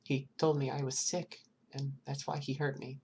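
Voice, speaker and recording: A narrator voicing a frightened, timid little girl in an audiobook, speaking haltingly and hesitantly. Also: mid-range voice, little pitch movement, a little mellow, a little hiss or hum, pitched above the performer's own voice, lightly acted. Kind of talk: acted audiobook dialogue.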